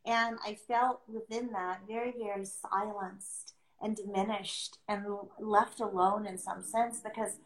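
A woman's voice: mid-range voice, steady tone. Earnest woman in a roomy, echoing space. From born outside the US, adopted into America. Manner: earnest, deliberate phrasing with pauses. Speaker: podcast host sharing her experience as an adoptive mother. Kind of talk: reflective conversational talk on a livestream.

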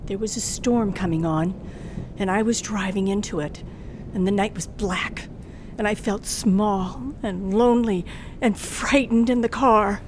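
A woman's voice: medium pitch, a little slow, darkish tone, close to an everyday voice. An actress playing a nervous young woman who tells her own tale of driving alone at night in an old radio thriller. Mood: frightened. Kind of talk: old-time radio thriller acting, first-person narration. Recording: noisy old radio drama recording.

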